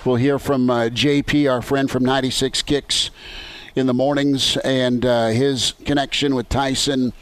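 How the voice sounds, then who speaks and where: A man's voice: medium pitch, flat delivery, a little slow, no room sound. American man hosting sports talk. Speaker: sports talk show host. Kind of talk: conversational sports radio discussion. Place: on location at a golf tournament.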